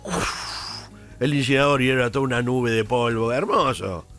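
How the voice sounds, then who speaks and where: A man's voice: medium pitch, normal speed, fairly bright tone, soft background music. A man with a Rioplatense Argentine accent, co-hosting a radio show in casual, jokey banter, telling nostalgic stories that trail off mid-phrase. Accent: Rioplatense Argentine Spanish. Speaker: radio co-host.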